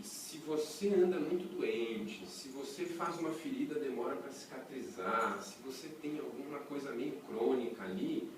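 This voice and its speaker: A man with a Brazilian Portuguese accent gives a casual workshop lecture, his voice rising and falling in a lively, animated way. He chats with the class and keeps asking them rhetorical questions. He is picked up from a distance in a very echoey room with a faint hiss. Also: fairly high pitch. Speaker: breathing workshop teacher. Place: workshop room with a small audience.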